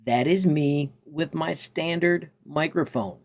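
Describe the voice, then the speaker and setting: A man narrating a casual software tutorial as host, in an upbeat, enthusiastic manner. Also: a little high, slow pace, vocal fry.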